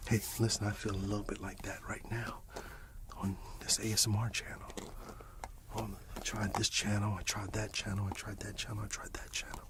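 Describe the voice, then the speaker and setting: A man giving an encouraging, rambling ASMR monologue that slips between a breathy whisper and soft speech, often repeating himself. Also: fairly low pitch, little pitch movement, a little fast, noisy background. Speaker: ASMR channel host.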